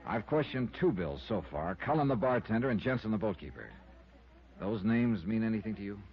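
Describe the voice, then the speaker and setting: A hard-boiled American investigator in an old radio drama. His voice sits low and swings with lively inflection, brisk and wry. The vintage recording is dull. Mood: businesslike. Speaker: lead actor and narrator. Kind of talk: 1950s radio detective drama acting.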